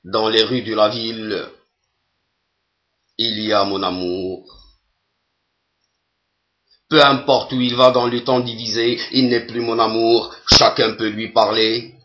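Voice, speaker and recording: Slow, even-toned man reciting poetry. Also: lowish voice, muffled recording. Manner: measured, deliberate, with long pauses between phrases.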